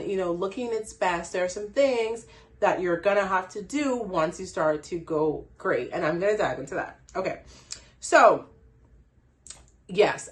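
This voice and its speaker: A woman from the Bahamas with a Bahamian accent, giving a casual hair care tutorial in a chatty way and laughing at herself. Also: medium pitch. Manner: chatty, laughs at herself, frequent "you know". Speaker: hair care brand owner.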